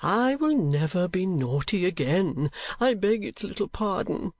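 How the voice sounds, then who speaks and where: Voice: mid-range voice, sing-song, unhurried, no room sound, pitched above the performer's own voice. A narrator voicing a foppish, cowardly kept husband in a storybook, pleading with simpering baby-talk and affected oaths.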